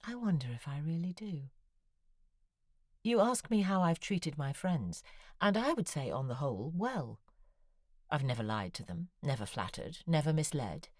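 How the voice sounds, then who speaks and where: An actress playing a middle-aged romance novelist in an audio drama, speaking in a quiet, confiding way that sometimes drops to a whisper. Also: female voice, a bass voice, no echo. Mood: wry. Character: introspective middle-aged woman romance novelist, wry and lonely. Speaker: confessional monologue. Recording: dry close studio with faint music.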